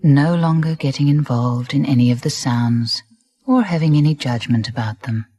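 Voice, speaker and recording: A woman narrating a guided meditation in a soft, breathy voice, soothing and deliberate, with gentle phrasing and pauses. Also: extremely low pitch, dry recording. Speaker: relaxation guide. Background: music.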